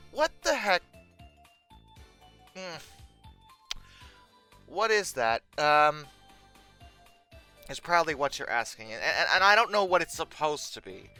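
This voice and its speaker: A video host giving comedic commentary on pictures with theatrical mock exasperation, swinging between deadpan asides and outbursts. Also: medium pitch, smooth.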